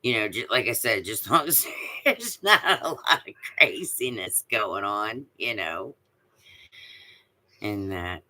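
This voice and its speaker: A woman with a deep voice chatting casually on a live stream, rambling with many fillers and restarts. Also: expressive, takes it slowly, pushed and bright. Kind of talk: casual chat.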